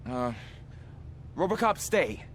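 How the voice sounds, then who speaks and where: A man's voice: on the high side, big pitch swings, takes it slowly, slight background noise. An actor playing an eager, nervous rookie male police officer with a hesitant, stammering, earnest delivery. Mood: flustered, pleading.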